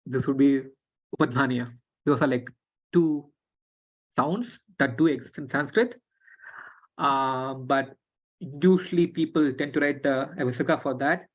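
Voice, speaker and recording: Woman teacher, casual and halting, lo-fi call audio. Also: extremely low pitch. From Tamil Nadu, India. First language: Telugu. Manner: relaxed, many fillers and restarts. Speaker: script teacher. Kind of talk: online class explanation. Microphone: video call. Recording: dull lo-fi video call audio.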